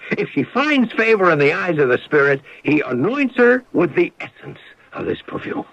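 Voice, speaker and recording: Eager, husky-voiced storytelling pitchman. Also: very animated, normal speed, a bit bright, no echo, heavily acted. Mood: eager, theatrical. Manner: theatrical, enthusiastic. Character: eager pitchman spinning a romantic exotic tale. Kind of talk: radio drama acting. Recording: dull lo-fi old radio broadcast.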